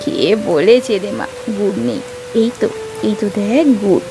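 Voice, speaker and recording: A woman's voice: medium pitch, expressive, unhurried, music in the background, lightly acted. A woman voicing an old beggar woman who is secretly a kindly, stern goddess in a children's moral cartoon. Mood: gentle.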